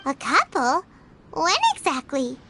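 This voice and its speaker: A woman voicing a tiny childlike fairy companion in a video game, squeaky, perky and inquisitive. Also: expressive, unhurried, sharp tone, slight background noise, full character voice. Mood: curious.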